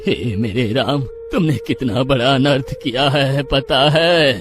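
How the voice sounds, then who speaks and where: A man's voice: mid-range voice, slow pace, close to an everyday voice. A man voicing an elderly village priest in a cartoon, exclaiming and scolding with pious alarm. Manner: exclaims in shock, scolding and fervent. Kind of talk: cartoon voice acting. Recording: background music under voice.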